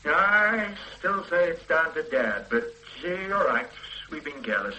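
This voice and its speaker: A brisk, self-assured detective in a radio drama, quick to dismiss any doubt. His voice sits mid-range but bright and lively in its rises and falls. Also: fairly high pitch, full character voice, low-quality recording. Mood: dismissive. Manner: brisk, dismissive, matter-of-fact. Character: confident male police detective, brisk and certain. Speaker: senior police detective.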